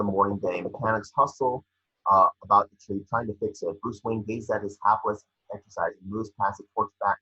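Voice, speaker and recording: A man's voice: lowish voice, pushed and bright, breathy edge, dull audio. A man reading screenplay scene directions aloud as narrator, stumbling over words now and then.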